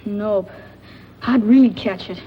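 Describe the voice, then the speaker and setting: A woman voicing a mischievous, put-upon, wistful young boy in a 1940s radio drama, with a husky voice. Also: mid-range voice, slow pace, a little hiss or hum, natural voice. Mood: wistful, earnest. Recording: muffled old radio recording.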